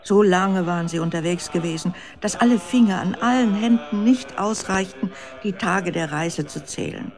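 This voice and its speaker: A narrator telling a children's radio story in a measured, expressive scripted style. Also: mid-range voice, music in the background.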